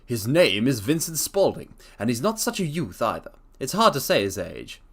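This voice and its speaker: A man's voice: mid-range voice, sing-song, normal speed, breathy edge. A rambling middle-aged shopkeeper, read by a man, tells his troubles in an earnest, slightly aggrieved way. His voice rises and falls widely as he goes. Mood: plaintive. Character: middle-aged male pawnbroker, plain and rambling.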